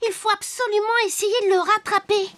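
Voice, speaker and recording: A very high, childlike little wooden puppet boy, earnest and full of exclamations. He talks like a children's cartoon hero, with loud music playing behind him. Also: little pitch movement, brisk. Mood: earnest, concerned. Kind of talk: children's cartoon dialogue, French dub. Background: prominent cartoon music.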